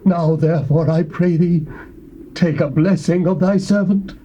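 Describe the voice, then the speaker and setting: An English actor playing a proud, grateful Syrian army captain, speaking in a declamatory, emphatic way on a lo-fi tape. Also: male voice, on the high side, fairly even intonation, unhurried, mellow, slight background noise, natural voice. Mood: humble. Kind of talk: theatrical audio Bible drama. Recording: dull lo-fi tape.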